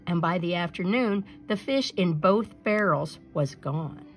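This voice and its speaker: Expressive woman reading a children's story over music. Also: lowish voice, unhurried. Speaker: storyteller reading a picture book aloud.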